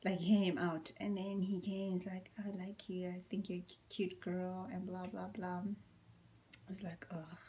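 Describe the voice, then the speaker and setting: Young woman telling a storytime, deepish voice. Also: measured pace, slight background noise. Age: young adult. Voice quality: deep voice. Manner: animated, acts out quotes, whispers asides. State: tired from a workout. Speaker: vlogger. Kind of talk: casual storytime chat.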